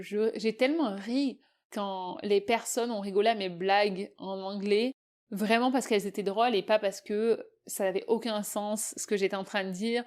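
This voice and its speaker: Française du Sud-Est, ton de podcast. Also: female voice, medium pitch, darkish tone. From southeast France. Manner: friendly, conversational, with frequent fillers. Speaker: podcast host. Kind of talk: casual podcast monologue.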